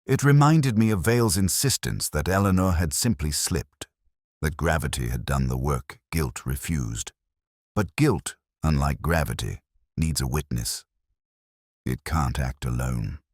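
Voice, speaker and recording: A man narrating a first-person detective story in a measured, brooding, literary manner. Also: extremely low pitch, lively intonation, no echo, studio quality.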